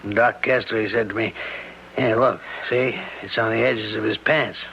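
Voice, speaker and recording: An actor playing a folksy, plain-spoken small-town sheriff who quietly probes, in a radio mystery drama. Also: male voice, on the low side, fairly even intonation, creaky. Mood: casually probing. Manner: plain-spoken, rural phrasing, deliberate. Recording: dull lo-fi radio recording with hiss.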